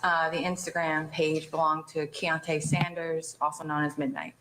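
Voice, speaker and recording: A woman's voice: deep voice, flat delivery, moderate pace. A woman testifying formally in court as an investigator on the witness stand. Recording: faintly noisy courtroom audio.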